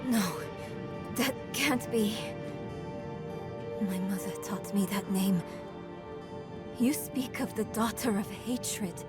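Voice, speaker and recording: A woman's voice: medium pitch, takes it slowly, long pauses, over loud music, lightly acted. A woman playing a headstrong, defiant girl in a video game cutscene, earnest and insistent. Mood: urgent. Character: headstrong, defiant girl searching for her missing mother. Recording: loud game score behind voice.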